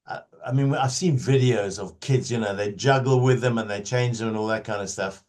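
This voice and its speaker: A man's voice: fairly low pitch, fairly quick, a little mellow. Man, informal interviewer. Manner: informal, conversational. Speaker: interviewer, host. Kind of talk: casual interview questions.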